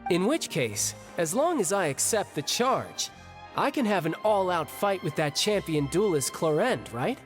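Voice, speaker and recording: Cocky, animated young warrior. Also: male voice, high voice, measured pace, soft background music. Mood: cocky, playful. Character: cocky young battle-hungry warrior, male, playful and bold. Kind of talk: anime game voice acting.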